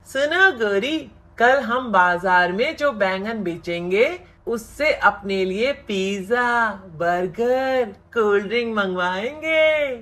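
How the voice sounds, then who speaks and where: A woman's voice: medium pitch, animated, takes it slowly, few pauses, fairly bright tone. A woman voicing a greedy, lazy male crow in a children's cartoon, with a drawling, exaggerated delivery. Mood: pleased. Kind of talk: children's animated moral story acting.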